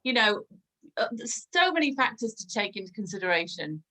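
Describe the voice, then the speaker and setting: A woman hosting a relaxed interview and asking long, rambling questions. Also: mid-range voice, a little fast, rough recording. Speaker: podcast host. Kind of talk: casual interview chat.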